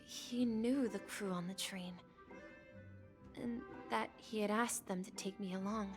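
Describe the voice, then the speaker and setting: A wistful young boy from a video game story , acted by a woman, recalls things gently. The delivery is slow and halting, at a middling pitch, with soft music behind it. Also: fairly even intonation, close to an everyday voice. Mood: wistful remembering.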